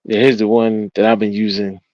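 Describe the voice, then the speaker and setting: A man's voice: lowish voice, flat delivery, fairly quick, a little mellow, no room sound, highs cut off. Easygoing joking man, dry sound. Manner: agreeable, laughing, repeats himself. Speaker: podcast co-host. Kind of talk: casual chat.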